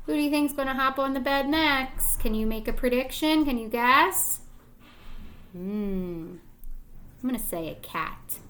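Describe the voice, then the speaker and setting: A woman with a fairly high voice reads aloud as a teacher to young children. She speaks very slowly and deliberately, with expressive phrasing, and prompts her listeners with simple questions. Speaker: teacher reading a picture book aloud.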